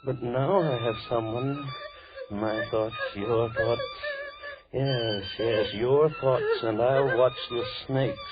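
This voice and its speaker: An actor playing a menacing, deranged villain in 1940s radio horror, theatrical and volatile, shifting between coaxing and anger. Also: male voice, mid-range voice, huge pitch swings, slow, music in the background. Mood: gloating. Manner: theatrical, gloating, swinging from coaxing to angry. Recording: muffled old radio recording, crackly background.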